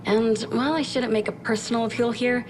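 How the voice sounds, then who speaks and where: An actress playing a brisk, dry-witted military scientist, giving a mission briefing in a video game with a crisp, matter-of-fact delivery. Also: female voice, a little high, fairly even intonation, background noise, natural voice. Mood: businesslike. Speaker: military research officer briefing a commander.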